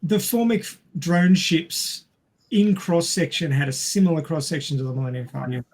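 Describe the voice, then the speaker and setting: Husky, creaky higher-pitched man chatting film. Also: moderate pace, a little mellow. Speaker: podcast panelist. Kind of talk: casual film discussion.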